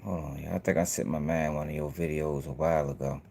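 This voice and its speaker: A man's voice: a bass voice, steady tone, quick pace, vocal fry, darkish tone. Dude reacting to clips, blunt and cussing, talking right at his viewers. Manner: blunt, profane, talks straight to viewers. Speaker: commentary channel host. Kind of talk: casual reaction commentary.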